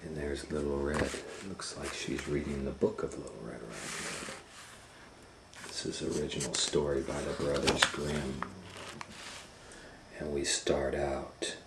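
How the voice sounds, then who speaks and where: A man's voice: rumbling low, fairly even intonation, unhurried, vocal fry, pauses often, heavy background noise. A man hosting storytime, reading a children's book aloud in an informal, dramatic way with ad-libbed asides. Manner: informal, dramatic, ad-libs around the text. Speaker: host and storybook reader.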